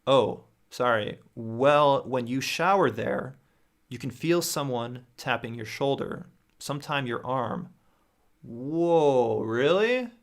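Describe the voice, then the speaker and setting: Gravelly-voiced young man reading stories. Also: medium pitch, expressive, slow pace. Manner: reads aloud with repeated restarts and reactions. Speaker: host reading viewer stories. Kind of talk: livestream reading viewer stories aloud. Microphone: studio mic on boom near face.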